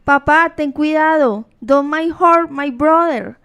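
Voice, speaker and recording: A woman's voice: high voice, takes it slowly, a bit bright, exaggerated voice. A woman playing a caring, anxious young sister in an amateur radio play. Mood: alarmed.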